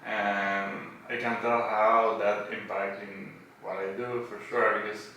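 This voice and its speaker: A man's voice: fairly low pitch, level tone, unhurried, sharp tone. A man from Buenos Aires with an Argentine accent chats casually in an interview, phrasing hesitantly in English as a native Spanish speaker. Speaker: podcast guest, tattoo artist. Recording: roomy echo, distant mic, faint noise.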